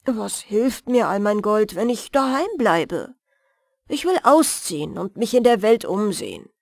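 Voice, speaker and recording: A woman reading a lively young huntsman. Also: medium pitch, unhurried, vocal fry, no echo, clean studio sound. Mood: resolute. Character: young male huntsman, adventurous, lovestruck and eager.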